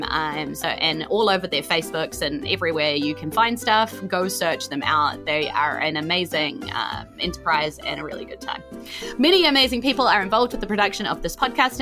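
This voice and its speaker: A woman with a New Zealand accent, working as a game master and host, improvising narration and chatting informally in an animated way. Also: on the low side. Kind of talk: improvised tabletop game narration and live-stream hosting.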